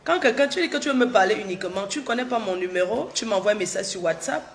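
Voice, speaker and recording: A woman's voice: medium pitch, animated, fast talker. A middle-aged woman, chatting casually on a livestream while she has a cold, repeating herself and answering viewers' comments directly. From Cameroon. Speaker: social media livestreamer. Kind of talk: casual live chat with viewers.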